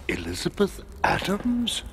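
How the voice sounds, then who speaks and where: An actor playing a dignified older president, stately, deliberate and courteous, in a video game. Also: male voice, fairly low pitch, big pitch swings, slight background noise. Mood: inquiring. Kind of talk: video game cutscene acting.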